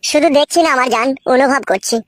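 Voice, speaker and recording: An actor voicing a crude, pushy, foul-mouthed young man in a comic cartoon, with a pitched-up voice. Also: quick pace, no room sound. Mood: lecherous boasting. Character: crude, pushy, money-minded young male lover.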